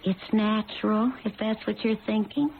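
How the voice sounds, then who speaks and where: A woman's voice: medium pitch, steady tone, normal speed, natural voice. An actress playing a brassy, flippant kept woman with slangy, brash talk in an old radio drama. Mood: brusque, flippant. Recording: noisy, tinny old radio recording.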